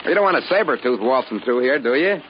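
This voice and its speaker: An actor playing a wary, grumbling adventurer in a 1950s radio drama, blunt and sardonic in manner. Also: male voice, mid-range voice, animated, quick pace, close to an everyday voice. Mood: tense, urgent. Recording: dull, slightly hissy 1950s radio recording.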